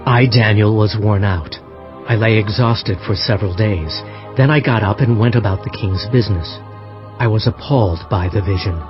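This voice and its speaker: An actor playing an earnest, solemn prophet, narrating dramatized scripture expressively. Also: male voice, on the low side, normal speed, close to an everyday voice. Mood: weary. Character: prophet Daniel, earnest solemn man recounting visions. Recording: dull audio under background music.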